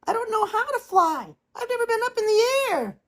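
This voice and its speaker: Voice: sing-song, fairly quick, fairly bright tone, exaggerated voice. Piping little cygnets. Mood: worried. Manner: high squeaky piping cries. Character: small young cygnets, eager and anxious.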